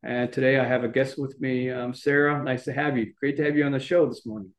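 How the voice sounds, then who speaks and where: A man's voice: mid-range voice, steady tone, quick pace. A man hosting a podcast interview, asking loose questions that restart and ramble. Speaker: podcast host. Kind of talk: casual interview.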